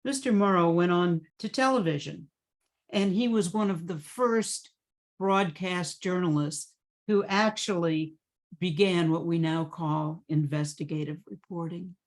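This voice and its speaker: A woman's voice: on the low side, unhurried. An older woman giving a prepared personal reminiscence in plain narration. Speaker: class member sharing memories.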